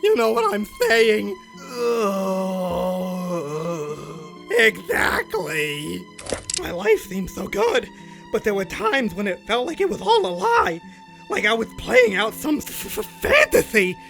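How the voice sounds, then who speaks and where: A man's voice: high voice, wildly swooping pitch, slow, vocal fry, a bit bright, faint music behind, heavily acted. A man voicing a bitter, grouchy, self-pitying fairyland guide in a comic dub, griping dramatically. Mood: drunken self-pity. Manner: foul-tempered, whiny, dramatic griping.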